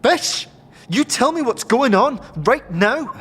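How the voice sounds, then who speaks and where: A woman's voice: fairly high pitch, big pitch swings, slow, breathy edge, big-room sound, heavily acted. A woman playing a fiery, distressed young woman who questions urgently in an audio drama. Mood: alarmed, demanding.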